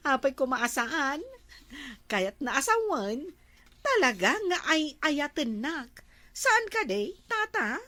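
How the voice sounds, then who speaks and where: A woman playing a sociable, nagging, jealous wife in an Ilocano village comedy drama, speaking with many drawn-out exclamations. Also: high-pitched, very animated, pushed and bright, dry recording, full character voice. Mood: complaining, flattered.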